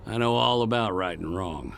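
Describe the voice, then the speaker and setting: An actor playing a hard, defiant Old West outlaw in a radio drama, with an American Western drawl and plain frontier talk. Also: male voice, on the low side, moderate pace, gravelly, soft background music. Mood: bitter, defiant.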